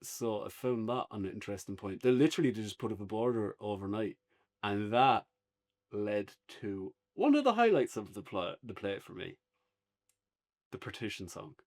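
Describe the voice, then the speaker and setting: An Irish man chatting casually as a podcast co-host, unscripted, halting at times as he searches for words. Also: lowish voice, lively intonation, darkish tone. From Ireland. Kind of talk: casual unscripted review chat.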